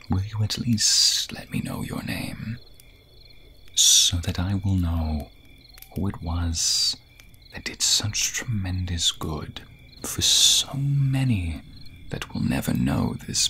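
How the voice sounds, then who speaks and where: A man voicing a gentle, grateful snake-man guardian in an ASMR roleplay, speaking in a breathy whisper with formal, archaic phrasing. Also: low-pitched, animated, slow, slight background noise, exaggerated voice. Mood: admiring.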